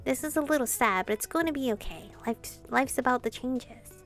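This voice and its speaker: A woman doing casual, expressive gameplay commentary, reading visual novel dialogue aloud and adding sweary asides. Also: high voice, moderate pace. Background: game music.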